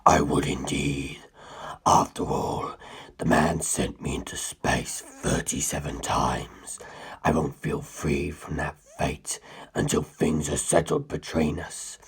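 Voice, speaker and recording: A man voicing an ancient, menacing inhuman being in a dramatic story, gravelly and breathy, with grandiose, condescending speech. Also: fairly even intonation, takes it slowly, heavily acted. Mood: menacing, hungry. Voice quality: very deep, gravelly, husky, breathy. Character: ancient, menacing, superior male non-human predator musing on humans. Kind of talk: dramatic audiobook character reading.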